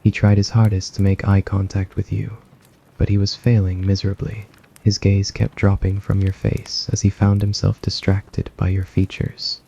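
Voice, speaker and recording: A man narrates an ASMR story in a low voice full of gravelly fry. His delivery is soft and scripted, with a husky edge. The recording sounds slightly dull and lo-fi. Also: monotone, normal speed.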